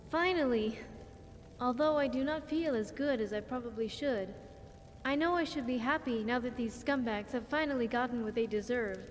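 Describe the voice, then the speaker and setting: A woman's voice: fairly high pitch, normal speed, big-room sound, a little hiss or hum, lightly acted. A woman playing a wistful, earnest young vault survivor in a video game, speaking in careful, formal phrases. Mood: sad, grateful. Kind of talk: video game companion dialogue.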